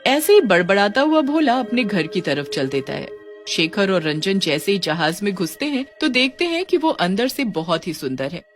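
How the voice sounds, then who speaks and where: A woman's voice: medium pitch, very animated, moderate pace, soft background music. A woman narrating a scripted cartoon story.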